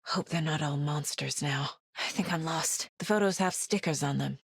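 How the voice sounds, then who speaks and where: A woman playing a focused, determined federal investigator in a video game, with an understated, introspective delivery and a husky voice. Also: low voice, normal speed, dry recording, studio quality, exaggerated voice. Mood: thoughtful, focused. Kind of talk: video game character voice lines.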